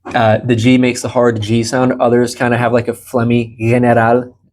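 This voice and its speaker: An American man in his thirties teaches a small informal language group. His voice sits at a medium pitch. He explains patiently and encourages his learners as he goes. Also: completely flat delivery, moderate pace, a little mellow, slight background noise. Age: 30s. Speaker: Spanish teacher. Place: small indoor room beside a large monitor.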